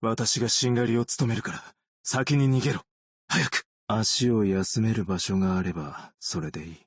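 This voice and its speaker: A man voicing a weary, gentle, long-lived optician in a video game, measured, understated and quietly melancholic. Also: lowish voice, slightly rough, dry recording, clean studio sound. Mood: urgent, then calm, dry. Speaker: video game character voice actor. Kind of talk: scripted game character voice lines.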